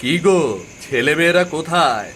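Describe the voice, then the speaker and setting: A man's voice: fairly high pitch, expressive, normal speed, a bit bright, a little hiss or hum. A man voicing a mild, long-suffering village family man in a cartoon, with a folksy manner. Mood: earnest. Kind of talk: cartoon story voice acting.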